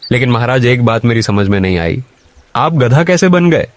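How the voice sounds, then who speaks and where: A man voicing a humble, kind-hearted poor labourer in an animated moral story. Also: medium pitch, normal speed, slight background noise, close to an everyday voice. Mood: puzzled.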